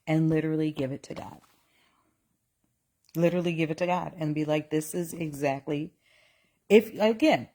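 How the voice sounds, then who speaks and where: A woman's voice: sits low, darkish tone. A woman in her forties talking casually to a live audience about faith and relationships, often restarting her sentences and reading comments aloud. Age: 40s. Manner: reads chat aloud, exclaims in mock outrage; slow, repetitive, restarts often. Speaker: live stream host.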